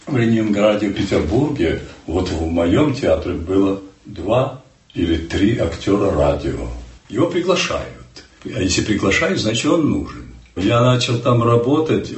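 Older male actor, low voice with a lively melody, recorded from a distance in a reverberant room. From Ukraine. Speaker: stage actor, interview guest. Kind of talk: reflective interview answers.

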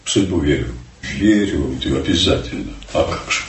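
An older man from Ukraine, a stage actor, telling stories in an unhurried, emphatic way during an interview. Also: a bass voice, animated, reverberant, distant mic, a little hiss or hum. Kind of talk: reflective interview answers.

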